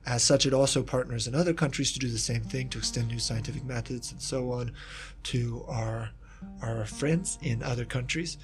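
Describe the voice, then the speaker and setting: A man from Kentucky, a historian of East Asia, talking about his research in a relaxed interview, with many asides and restarts. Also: medium pitch, moderate pace, faint music behind. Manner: thoughtful, rambling with asides and restarts. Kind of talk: casual reflective interview talk.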